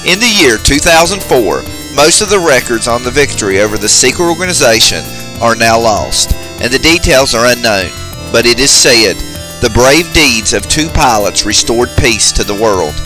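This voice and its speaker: A man's voice: medium pitch, very animated, normal speed, low-quality recording. A man giving casual, off-the-cuff commentary as he goes through a video game. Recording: game music behind the voice. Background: video game music.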